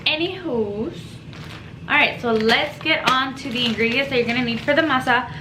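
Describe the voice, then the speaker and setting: A woman's voice: high voice, normal speed. Chatty Spanish-native woman in echoey kitchen. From Mexico. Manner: rambling, informal, self-deprecating. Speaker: home cooking vlogger. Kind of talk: casual chatty cooking video. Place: home kitchen. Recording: echoey kitchen with background noise.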